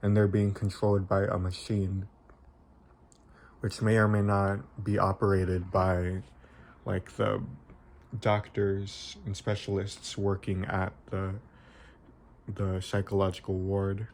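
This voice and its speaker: A young man with a fairly low voice talks through an informal video essay. His delivery is very flat, with little rise or fall. He phrases things haltingly and often corrects himself as he explains. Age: young adult.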